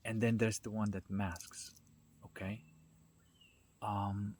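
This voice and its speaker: A man giving an informal, unscripted talk as a spiritual self-development speaker, halting as he searches for words and often checking in with the listener. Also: lowish voice, level tone, darkish tone. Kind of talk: informal unscripted explanatory monologue. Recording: noticeable background noise.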